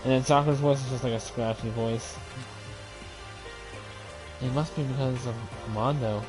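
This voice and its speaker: A man reading a visual novel aloud with chatty asides; his voice is dry and scratchy today, and he gets excitable and trips over his words. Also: mid-range voice, normal speed, over music. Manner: excitable, talks over himself with restarts. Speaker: let's play reader voicing a visual novel.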